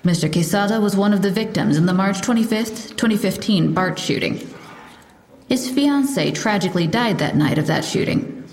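A woman's voice: mid-range voice, animated, darkish tone, a little hiss or hum, close to an everyday voice. A woman playing a formal police lieutenant, echoey. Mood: official. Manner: formal, measured official statement. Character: composed female police lieutenant giving a press briefing. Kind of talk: audio drama acting. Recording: roomy echo.

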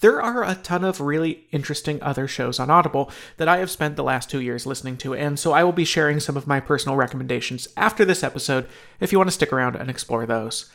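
Creaky-voiced male podcast host. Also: on the high side, lively intonation, quick pace, fairly bright tone. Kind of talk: scripted podcast introduction and promo read.

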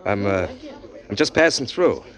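A man's voice: lowish voice, expressive, normal speed, lightly acted. An actor playing a down-on-his-luck male drifter who narrates in a hard-boiled style in a 1940s radio drama. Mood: pleading.

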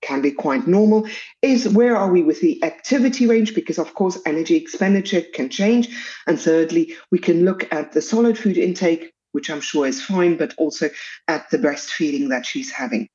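A South African woman speaking as an expert guest in a fairly low, mellow voice. She works methodically through one factor after another. The audio sounds a little dull and muffled. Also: normal speed. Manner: methodical, lists factors step by step. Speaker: pediatric dietitian guest. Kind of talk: expert podcast answer.

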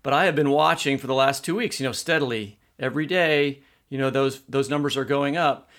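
A man's voice: medium pitch, fairly quick, fairly bright tone. A middle-aged man with an American accent, co-hosting an interview podcast in an informal, rambling, conversational way. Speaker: podcast co-host.

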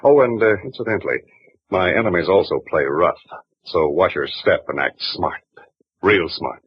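A man's voice: low voice, normal speed, background noise, close to an everyday voice. An actor playing an overbearing, pushy male broker of about forty who talks in a clipped way. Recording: muffled 1940s radio recording.

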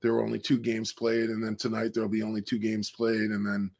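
A man with a deep voice, joining a casual sports podcast over a video call, easygoing and relaxed. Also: brisk, gravelly. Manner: laid-back, easygoing, says "man" and "dude". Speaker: guest co-host.

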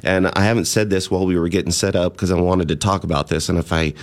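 A man hosting a casual radio music show, talking in a relaxed way with many "uh" fillers. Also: low-pitched, quick pace. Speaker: radio host.

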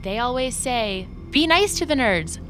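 Sneering, high-voiced young mean girl. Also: animated, measured pace, fairly bright tone, faint music behind. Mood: sarcastic, scornful. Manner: sneering, sarcastic. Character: mocking young woman, former popular mean girl, smug and scornful. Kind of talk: audio drama acting, contemporary dialogue.

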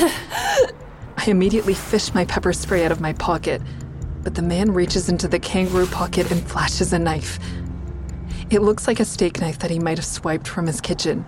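An actress playing a wary, anxious woman who narrates an audio drama in the first person, measured and confiding. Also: on the low side, noisy background, natural voice.

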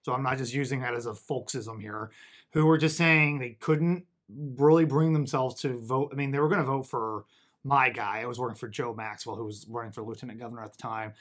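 A man's voice: medium pitch, brisk. A man hosting a podcast interview, conversational and chatty, laughing easily. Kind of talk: casual interview chat.

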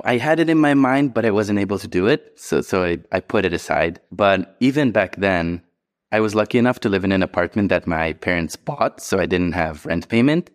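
A man in his thirties from Hungary, telling his money story in an easygoing way as an interview guest. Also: low voice. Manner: easygoing, chuckles now and then. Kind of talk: casual personal money story.

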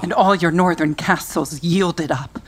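A grave messenger bringing dreadful tidings to a king. The voice sits very low and the delivery is measured and reluctant. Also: breathy edge. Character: grave royal messenger bearing bad news.

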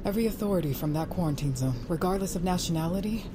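A woman playing a grave, determined fugitive rescuer in audio fiction, with serious, deliberate delivery. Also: lowish voice, natural voice. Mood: urgent. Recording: vehicle ambience noise behind.

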